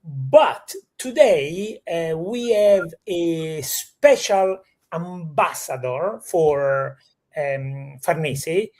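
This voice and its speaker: Male Italian-accented livestream host, high-pitched, conversational and halting, non-native speaker. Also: animated, darkish tone. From Italy. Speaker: host of a real estate livestream. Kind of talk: chatty live show presenting.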